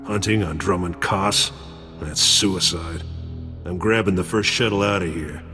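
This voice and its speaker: An actor playing a gruff, disgruntled rival bounty hunter in a video game. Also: male voice, low-pitched, a little slow. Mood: indignant. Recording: reverberant, with background music.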